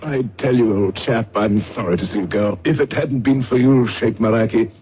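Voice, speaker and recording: An actor playing a hearty, grateful, gentlemanly English lord, effusive and genteel, in an old-time radio drama. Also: male voice, on the low side, moderate pace, natural voice. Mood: grateful, impressed. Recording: muffled noisy old radio broadcast.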